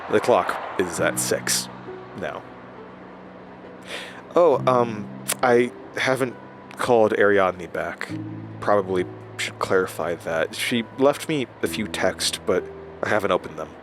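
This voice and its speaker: An actor playing a haunted, weary man confiding his supernatural ordeal in a halting horror audio drama monologue. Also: fairly low pitch, lively intonation. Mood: weary, driven, then anxious, halting. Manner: halting, confessional, dramatic pauses. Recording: music behind the voice.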